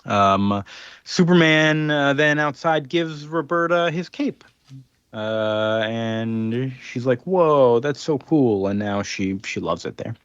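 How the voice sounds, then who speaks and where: A man's voice: mid-range voice, lively intonation, slow, fairly bright tone, clear, resonant tone, dry recording, highs cut off. A man casually recapping a comic book as a podcast co-host, rambling with ums and restarts and acting out quotes.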